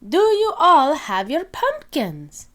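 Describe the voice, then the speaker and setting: A woman's voice: high-pitched, wildly swooping pitch, slow, a bit bright, voice pushed up, exaggerated voice. A woman voicing a kindly gazelle schoolteacher in a children's storybook, announcing things in an encouraging sing-song. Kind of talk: children's storybook read-aloud.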